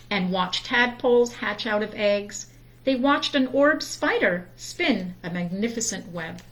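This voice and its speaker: A woman reading a children's story aloud in a deliberate, expressive storytime manner. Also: fairly high pitch. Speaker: storytime reader.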